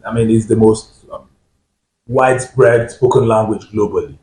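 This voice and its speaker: A man speaking as a guest on a panel discussion, giving his view in a measured way and sometimes restarting a phrase. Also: lowish voice, dark tone. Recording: echoey room.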